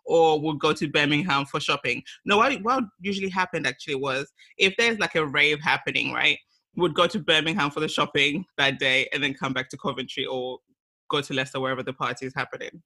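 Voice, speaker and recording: A woman hosting a podcast, chatting casually with a guest, quick with laughs and rising questions. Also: deep voice, pushed and bright. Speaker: podcast host.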